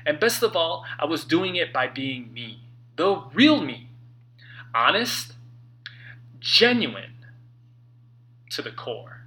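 Animated, very bright-voiced man reading motivationally. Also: a little high, poor audio. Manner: earnest, emphatic, dramatic phrasing with pauses. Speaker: author reading own book. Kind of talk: expressive self-help audiobook narration.

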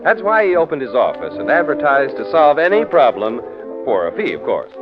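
A man's voice: mid-range voice, wildly swooping pitch, a little fast. A man with an American accent announcing a 1940s radio show in period style. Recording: dull 1940s radio recording. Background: music.

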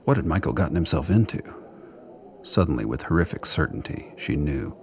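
Very deep, gravelly male narrator. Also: sing-song, brisk, slight background noise. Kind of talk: scripted dramatic narration.

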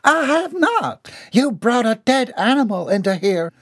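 High, shrill servant woman, slow wailing lines, dry close sound. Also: big pitch swings, dry recording. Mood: shrieking.

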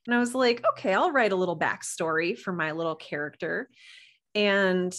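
Expressive woman telling stories casually. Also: on the low side, moderate pace. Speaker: guest author and podcast producer. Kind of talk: casual interview storytelling.

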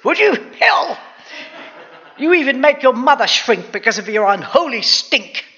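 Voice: sits high, big pitch swings, measured pace, pushed and bright, lo-fi sound, voice pushed up, heavily acted. A storyteller voicing a scornful, hot-tempered mother who scolds and bullies in exclaiming comic verse. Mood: scolding. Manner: shrieking, scolding insults.

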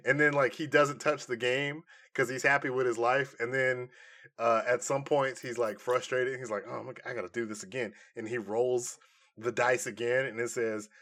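A man co-hosting a podcast in casual chat, informal and often halting as he thinks aloud. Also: mid-range voice, fairly even intonation, fairly bright tone. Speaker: podcast co-host. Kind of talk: casual chat, pitching comic movie ideas.

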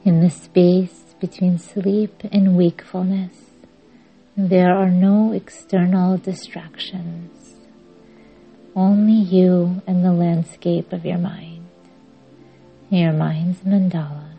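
A woman guiding a yoga nidra meditation in a measured, soothing, instructing way with long pauses. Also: on the low side, little pitch movement, gravelly, breathy edge. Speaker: meditation podcast host and guide. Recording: dull lo-fi audio under music. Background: music.

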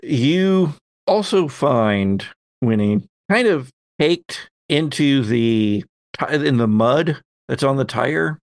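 A man acting as game master, improvising narration for a tabletop game and repeating phrases haltingly as he goes. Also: mid-range voice, expressive, no echo, clean studio sound.

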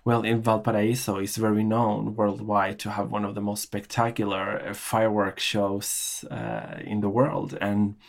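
A man's voice: fairly low pitch, flat delivery, a little slow, gravelly, hardly any pauses. A man telling a personal story in an interview, hesitant and full of restarts. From Norway. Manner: hesitant, many restarts, emotional reminiscing.

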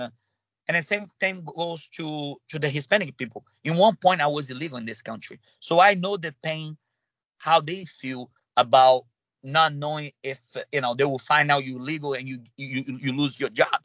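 Halting Brazilian-accented man, bright voice. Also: a little high, no room sound, muffled recording. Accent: Brazilian Portuguese. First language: Portuguese. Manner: halting, many restarts and fillers. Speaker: guest. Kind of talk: conversational interview.

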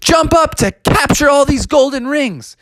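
A breezy blue hedgehog hero from a video game acted out by a storyteller in a kids' tale. His voice is raised and lively, coaxing along in laid-back dude slang. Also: high-pitched, a little slow, no echo, clean studio sound, voice pushed up. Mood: upbeat. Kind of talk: character voice in improvised children's story.

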